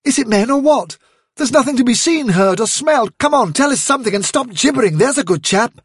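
A firm, commanding rabbit leader from a storybook , acted by a man, British and raised in pitch. He speaks briskly and stubbornly, with a husky edge and a close, dry sound. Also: animated, no room sound. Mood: alarmed, impatient.

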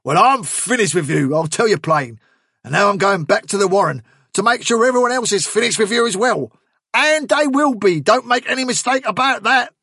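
A furious storybook rabbit played by a man, raised well up in pitch and husky at the edges. He scolds and sneers with heavy sarcasm, hitting his words hard. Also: lively intonation, normal speed, bright, forceful tone, no room sound, studio quality, full character voice. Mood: furious scorn. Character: furious, blustering, scornful male rabbit fighter. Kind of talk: storybook character dialogue.

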